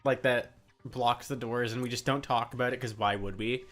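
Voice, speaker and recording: A man's voice: medium pitch, steady tone, moderate pace, a bit bright. Casual man streaming over faint game music. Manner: informal, musing aloud. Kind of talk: casual gaming chat, commentary.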